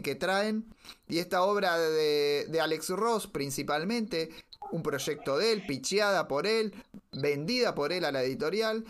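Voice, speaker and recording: A man's voice: a little high, a bit bright. A man with an Argentine accent hosting a podcast in a casual, chatty style. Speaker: podcast host. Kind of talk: casual podcast introduction and chat.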